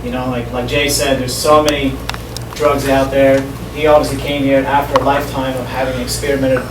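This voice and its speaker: A man's voice: mid-range voice, brisk. Middle-aged man in a roomy hall. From Sweden. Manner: relaxed, conversational, addresses the audience directly. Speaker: speaker at a school assembly. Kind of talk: personal story told to students. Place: school hall. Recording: echoey hall from far off, noisy room.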